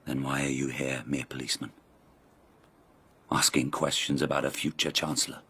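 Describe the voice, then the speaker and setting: An actor playing an arrogant, sneering court nobleman in a radio drama, condescending and husky. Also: extremely low pitch, level tone, normal speed, bright, forceful tone, exaggerated voice. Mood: scornful, menacing. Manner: haughty, condescending, drawling innuendo.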